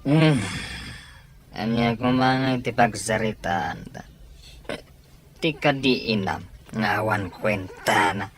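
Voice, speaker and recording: A man's voice: on the low side, slow pace, long pauses, a bit bright, a little hiss or hum. A man playing a middle-aged, drunken and ailing Filipino father in a radio drama, groaning and slurring his words. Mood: drunken, defensive.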